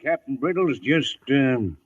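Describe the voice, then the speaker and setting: An actor playing a genial but commanding cavalry major, brisk and authoritative, in a 1950s radio drama. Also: male voice, mid-range voice, expressive, breathy edge, highs cut off, soft background music.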